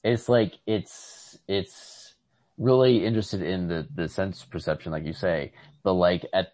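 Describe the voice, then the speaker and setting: A man co-hosting a podcast, casually discussing literature in a halting manner as he searches for words. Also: sits low, steady tone, a little mellow, no room sound. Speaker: podcast co-host.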